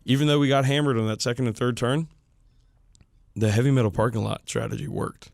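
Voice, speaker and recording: A man hosting a casual wargaming chat podcast, speaking informally and with some swearing, his voice hoarse and worn out. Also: medium pitch, a little fast, a little mellow, dry recording, clean studio sound. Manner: informal, profane. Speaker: podcast host.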